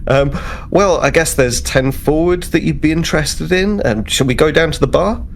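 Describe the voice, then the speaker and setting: A man's voice: fairly high pitch, moderate pace, creaky, a little hiss or hum, voice pushed up. A man playing an eager, flustered young starship security officer in a tabletop game, hesitant and rambling as he talks.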